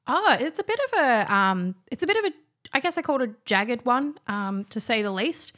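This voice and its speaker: A woman's voice: fairly high pitch, big pitch swings, moderate pace, fairly bright tone. A young woman, chatting casually as a guest about her work, with plenty of fillers and restarts. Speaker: guest, wine trainer. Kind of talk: casual chat.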